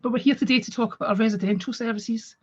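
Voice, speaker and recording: A woman who heads a drug and alcohol service, croaky from a cold, presenting in a friendly way with frequent fillers. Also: on the high side, fairly even intonation, fast, lo-fi sound. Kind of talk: webinar presentation.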